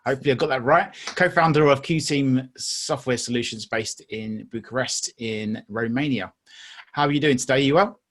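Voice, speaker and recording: A man with a mid-pitched voice hosting a business podcast. He sounds friendly and pokes fun at himself as he introduces guests and asks questions. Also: moderate pace, fairly bright tone. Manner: friendly, self-deprecating. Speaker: podcast host. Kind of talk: podcast interviewing.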